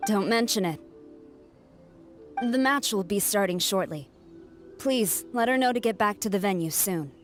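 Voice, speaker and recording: A woman playing a stern, proud young samurai general in a video game, formal, measured and serious. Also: medium pitch, lively intonation, faint music behind, natural voice. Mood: polite. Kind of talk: video game character acting.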